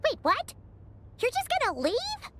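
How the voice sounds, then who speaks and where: A woman voicing a small, childish, nosy fairy companion with a squeaky voice in an anime game. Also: wildly swooping pitch, measured pace, heavy background noise, full character voice. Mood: indignant. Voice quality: squeaky, piercing.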